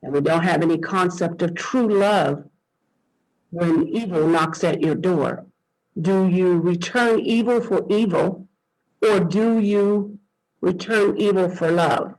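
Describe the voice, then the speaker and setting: A man's voice: high-pitched, takes it slowly, a little mellow, rough recording. A man hosting an online interview, introducing his guest with emphatic, preacherly cadence over a video call. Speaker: host.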